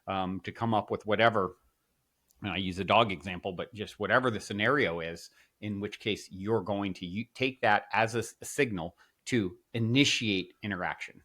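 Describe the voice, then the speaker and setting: A man's voice: fairly low pitch, normal speed, a bit bright. A man hosting a conversational podcast interview, encouraging and affirming his guest often. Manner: encouraging, frequent affirming backchannels. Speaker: podcast host.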